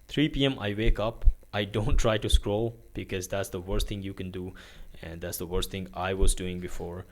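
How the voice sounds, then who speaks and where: A man's voice: sits low, steady tone, normal speed. A young man from Pakistan filming a casual day-in-the-life vlog in his bedroom, rambling through his routine with lots of fillers and restarts. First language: Urdu is his country's language, but not his first language. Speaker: vlogger. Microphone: phone on a tripod.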